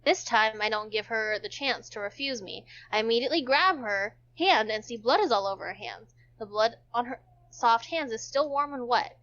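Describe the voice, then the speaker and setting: Female amateur narrator for a game stream, casual reader, bright and slightly high, muffled recording. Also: lively intonation, normal speed. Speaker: host and narrator of a visual novel stream. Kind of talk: amateur read-aloud narration with casual asides.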